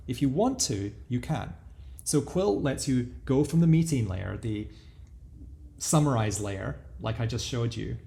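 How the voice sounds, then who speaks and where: A man giving a casual, explanatory product walkthrough as a software demo presenter. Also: mid-range voice, gravelly.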